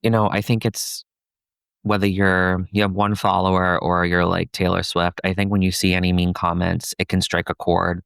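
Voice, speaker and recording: A young adult man with a soft, somewhat feminine voice, chatting casually as a podcast guest, with many fillers. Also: deep voice, moderate pace, vocal fry, clear, resonant tone, no echo, clean studio sound. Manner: soft-spoken, relaxed, many fillers like "you know" and "like". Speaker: podcast guest, content creator. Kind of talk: casual conversational interview.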